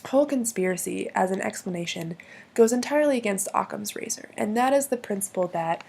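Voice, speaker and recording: A woman with a hoarse voice from bronchitis, explaining logical fallacies in a hesitant way with many pauses and restarts. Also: medium pitch, lively intonation, a little mellow. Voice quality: husky, gravelly.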